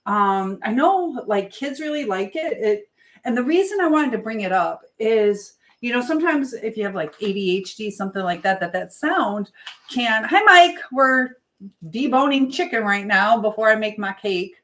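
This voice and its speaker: A woman speaks casually as the host of a live stream, in a medium-pitched voice. She rambles from thought to thought in a loose, unscripted way, like someone talking while busy with chores. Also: expressive, normal speed. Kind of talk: casual live chat monologue.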